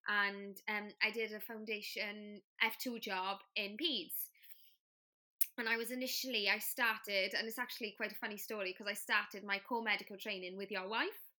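A woman's voice: medium pitch, pushed and bright. A young woman with a Welsh accent, a trainee children's doctor, chatting as a guest and telling stories with plenty of fillers. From Wales. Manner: friendly, chatty storyteller with many fillers. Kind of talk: casual interview chat.

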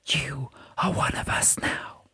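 A reader voicing an eerie, ancient female rock being in a slow, breathy, unvoiced whisper, solemn and disdainful, for an audiobook story. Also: pushed and bright, dry recording. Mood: commanding. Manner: slow, solemn, drawn-out delivery.

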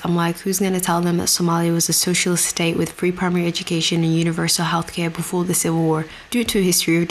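Young woman, low even delivery, reflective storyteller with dry asides, faint outdoor hiss behind. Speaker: speaker addressing an outdoor audience. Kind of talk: prepared storytelling speech. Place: outdoor venue with grass and a stage.

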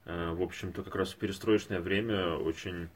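Deep-voiced man, halting analytical talk. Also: fairly even intonation, slightly rough. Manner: halting, measured, intellectual phrasing. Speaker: podcast co-host or panelist. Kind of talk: unscripted analytical discussion.

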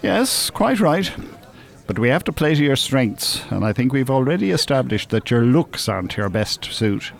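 A worldly older Irishman in a radio play. He is dry, teasing and opinionated, with a lively rise and fall in his voice and a blunt, cynical streak. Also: medium pitch, normal speed, creaky. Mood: persuasive, blunt. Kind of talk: naturalistic radio drama acting.

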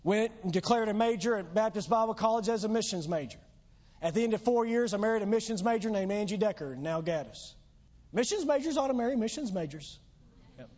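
A man's voice: sits high, lively intonation, brisk, darkish tone. A pastor preaching a sermon in church, losing his voice, with earnest, emphatic exhortations. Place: church sanctuary. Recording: dull lo-fi church recording.

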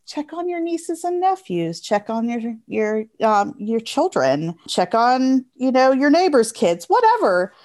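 An American woman speaks in a casual, conversational interview style. Her voice is slightly higher than average. She is earnest and informal, and leans on repetition to make her points. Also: a little mellow.

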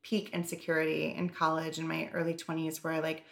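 A woman's voice: low-pitched, monotone, brisk, gravelly. A woman co-hosting a podcast, talking candidly and loosely, with lots of "like" fillers and casual swearing. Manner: candid, rambling, heavy on "like" and swearing. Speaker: podcast co-host.

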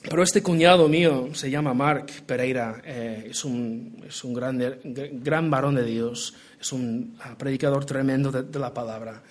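A young man raised in Portugal preaching, his Spanish accented by Portuguese and American English; earnest, with many restarts. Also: fairly high pitch. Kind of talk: sermon, Bible reading and prayer.

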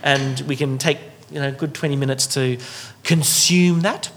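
A man welcomes a dinner group in a relaxed, meandering way. His voice sits at an ordinary middle pitch, and his sentences often circle back through fillers and restarts. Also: normal speed, a little hiss or hum. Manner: loose and rambling, with many fillers and restarts. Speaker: host of a dinner discussion. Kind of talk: casual welcoming talk to a group.

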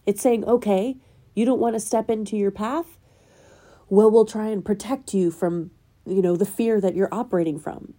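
A woman with a very dark, mellow voice speaks as host of her own show, a little nasal from a lingering cold. She presses her listeners with earnest, probing questions in a spiritual self-help talk. Her pitch sits in an ordinary middle range. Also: moderate pace. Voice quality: slightly nasal. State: getting over a cold. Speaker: podcast host.

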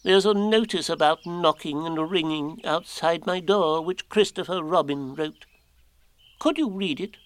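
Storybook owl, voiced by a male reader: self-important, slightly anxious, very slow and halting, pitched well up. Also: dry recording, natural voice. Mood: anxious, hesitant. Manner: deliberate, halting, pompous. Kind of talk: children's storybook character voice.

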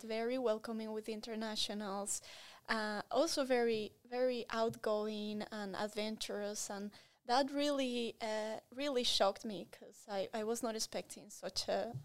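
Woman in her 30s, latin american spanish accent, kinda high voice, chatting through interview answers, upbeat and expressive. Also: unhurried, smooth. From Colombia and Spain. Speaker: interview guest.

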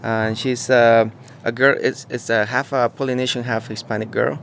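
A man from Mexico, talking casually outdoors in an on-site interview, halting and searching for words with many fillers. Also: mid-range voice, little pitch movement, vocal fry, a bit bright, slight background noise. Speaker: muralist interviewee. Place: outdoors beside a mural.

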